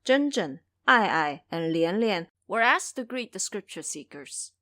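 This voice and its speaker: A woman's voice: mid-range voice, sing-song, measured pace, a bit bright. A woman narrator telling a story in a dramatic storybook style for an audio drama.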